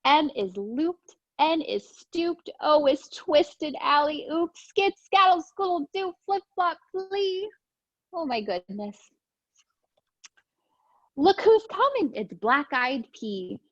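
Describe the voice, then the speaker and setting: A woman with a fairly high voice reads storytime books as a preschool teacher. She goes very slowly, with a sing-song, encouraging delivery. The sound is dull and lo-fi, close and dry. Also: expressive, no room sound. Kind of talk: animated read-aloud for young children.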